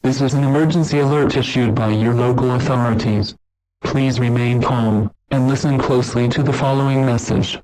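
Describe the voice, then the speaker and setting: A man delivers a scripted emergency broadcast as an announcer, formal, measured and impersonal. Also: mid-range voice, steady tone, darkish tone, slight background noise, poor audio.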